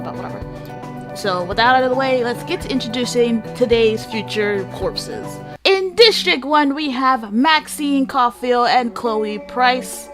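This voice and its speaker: A woman hosting a gaming series with casual, sweary commentary, switching between mock-dramatic announcing and offhand asides. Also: fairly high pitch. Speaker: host of a gaming channel series. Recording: music behind the voice. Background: music.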